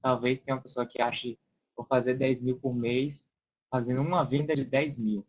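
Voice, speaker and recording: Brazilian man, mid-pitched, methodical explainer. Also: steady tone, moderate pace, muffled recording. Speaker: podcast guest, affiliate marketer. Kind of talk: casual explanatory talk.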